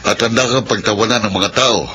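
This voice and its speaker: A man's voice: on the low side, a little fast, background noise, natural voice. An actor playing a grave, solemn older man who questions soberly in a radio drama.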